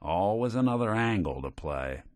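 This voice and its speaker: An actor playing a world-weary, wry robot private detective in a video game, with a clipped hard-boiled gumshoe delivery. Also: male voice, fairly low pitch, big pitch swings, moderate pace, no room sound, clean studio sound. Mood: wry, steady. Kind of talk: scripted game character voice lines.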